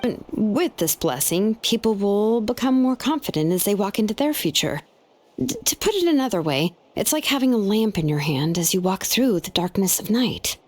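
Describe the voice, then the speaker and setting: A woman's voice: mid-range voice, animated, moderate pace, a little mellow, natural voice. A woman playing a laid-back, thoughtful young female artisan in a video game, explaining things matter-of-factly. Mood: calm, explaining. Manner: explains at length, matter-of-fact. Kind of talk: video game character dialogue.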